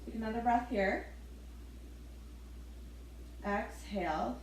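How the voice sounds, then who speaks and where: A woman leading a gentle yoga class, giving soft step-by-step breathing cues with the odd light laugh. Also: fairly high pitch, slow, very echoey, a little hiss or hum, rough recording. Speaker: yoga instructor.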